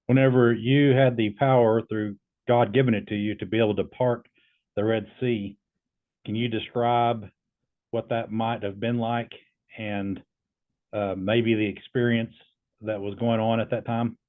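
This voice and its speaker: A man talks casually as a host, in a lowish voice with little melodic movement. His delivery is low-key and halting, and the sound is narrow and tinny, like a phone line. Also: flat delivery. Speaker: video host testing an AI voice. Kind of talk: casual explanatory chat and questioning.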